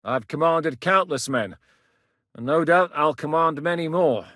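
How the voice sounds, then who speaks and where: A man voicing a blunt, steady mercenary captain in a video game, gruff and plain-spoken, recorded in a dry studio booth. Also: a little high, a little slow, no room sound. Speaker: mercenary leader.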